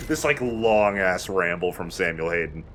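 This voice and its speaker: A man's voice: low voice, lively intonation, normal speed, a bit bright. A man giving casual, dryly joking commentary on a video game, with informal wording and some mild swearing. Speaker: let's play host. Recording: clear background noise.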